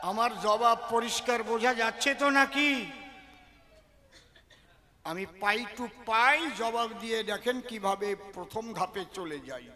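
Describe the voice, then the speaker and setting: A man delivers devotional folk verse in a very high, bright voice. He moves slowly and theatrically through his rhymes, turning to listeners rhetorical questions. Long hall reverb trails his words. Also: lively intonation. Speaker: kobigaan folk poet-singer and religious storyteller. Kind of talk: devotional performance in rhymed verse, half spoken, half declaimed to an audience.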